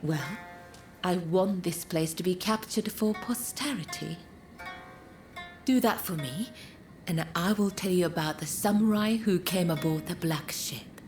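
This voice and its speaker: An actress plays a refined woman who hosts in a pleasure district in a game cutscene. She speaks slowly and courteously, with a knowing, teasing edge. Soft music sits behind her. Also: fairly low pitch, close to an everyday voice. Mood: sly, confiding. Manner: polite, measured, teasing. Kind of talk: video game cutscene acting.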